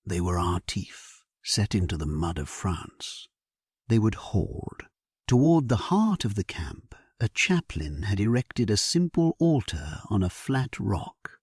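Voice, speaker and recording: A man plays a grave warrior king telling his own story for a sleep audio drama. The voice is very low and husky, with breathy edges. He speaks slowly and solemnly, with dramatic rises and falls and steady resolve. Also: no room sound, studio quality, exaggerated voice. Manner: solemn, measured, dramatic delivery. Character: grave, resolute English king at war, narrating his memoir. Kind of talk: scripted first-person sleep-story narration.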